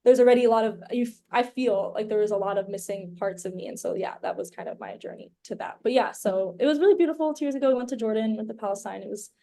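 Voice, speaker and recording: A woman's voice: fairly high pitch, quick pace, hardly any pauses, dark tone. A young woman from the United States, a podcast guest, talking in a chatty, rambling way full of "like" and "um". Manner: chatty and rambling, leans heavily on "like" and "um". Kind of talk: casual conversational interview.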